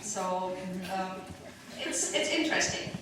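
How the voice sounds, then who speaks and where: A woman's voice: medium pitch, expressive, takes it slowly. A woman on a discussion panel giving an informal, anecdotal account in hesitant, piecemeal phrases. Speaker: panel speaker. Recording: very echoey, distant mic, noisy room.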